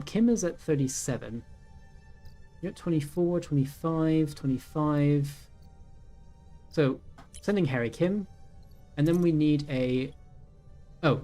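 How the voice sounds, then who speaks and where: A man's voice: on the high side, mellow. Man in his thirties musing over a game. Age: 30s. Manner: thinking aloud, many ums and self-corrections. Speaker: gaming YouTuber. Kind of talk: casual let's-play commentary. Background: faint game music.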